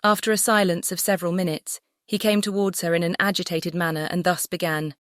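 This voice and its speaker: A woman's voice: lowish voice, little pitch movement, brisk, dry recording, clean studio sound. A woman narrating a classic novel as an audiobook, composed and carefully phrased. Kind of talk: scripted literary narration.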